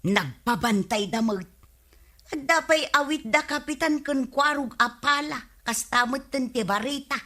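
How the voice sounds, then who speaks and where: An actress playing a curious, gossipy village neighbour with an Ilocano Filipino accent, animated and inquisitive, in a radio comedy drama. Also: female voice, high voice, measured pace, heavily acted.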